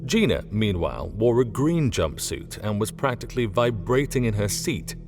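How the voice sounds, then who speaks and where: A man reading a scripted story as an audiobook narrator, in an expressive storytelling manner. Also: fairly low pitch, moderate pace, faint music behind.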